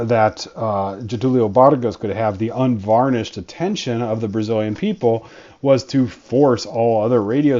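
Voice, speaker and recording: A man's voice: mid-range voice, dark tone, dull audio. A man, a history professor, giving a conversational college lecture with frequent fillers and restarts.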